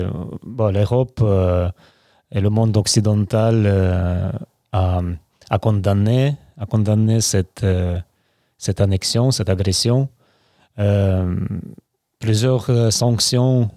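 A middle-aged man from Ukraine, not a French speaker, answering interview questions haltingly with many fillers and restarts. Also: on the low side, warm tone, no echo, clean studio sound. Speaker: guest.

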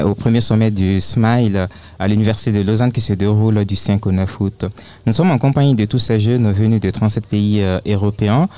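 A male radio host opens a live broadcast in a low, mellow voice. He is friendly but hesitant, and often pauses on fillers. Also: smooth.

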